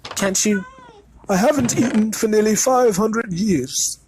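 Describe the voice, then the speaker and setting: A man's voice: high-pitched, a little slow, a little mellow, a little hiss or hum, voice pushed up. A man voicing a courtly old male ghost who speaks wistfully in a lifted, polite voice.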